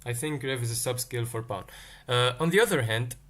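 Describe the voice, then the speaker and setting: A man's voice: medium pitch, a little fast, fairly bright tone. A man from Tunisia, teaching a live-streamed coding lesson. He thinks aloud and answers chat questions as they come in. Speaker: livestream tech teacher.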